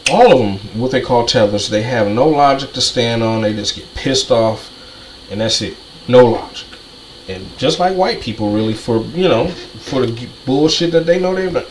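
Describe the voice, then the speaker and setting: A man's voice: medium pitch, moderate pace, low-quality recording. Ranting man with faint noise behind. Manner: ranting, repetitive, profane. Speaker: commentator. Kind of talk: heated monologue.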